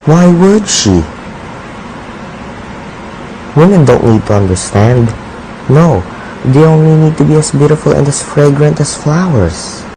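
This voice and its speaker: A man's voice: on the high side, moderate pace, dark tone, slight background noise, lightly acted, rough recording. A man playing a heartbroken young poet in a radio drama, declaiming bitterly and in despair. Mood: indignant. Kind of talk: theatrical radio drama acting.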